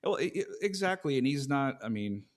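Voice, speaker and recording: A man with a mid-range voice co-hosts a casual podcast. He mostly agrees and chimes in, adding quick remarks in an easygoing way. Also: medium pitch, very animated. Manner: agreeable, chuckling backchannels. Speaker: podcast co-host.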